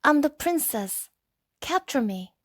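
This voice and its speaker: A woman's voice: sits high, big pitch swings, slow pace, no echo, studio quality, pitched above the performer's own voice, exaggerated voice. A woman voicing a mischievous, bold young princess from a storybook, blunt and unladylike. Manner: blunt, bossy. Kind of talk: storybook character voice.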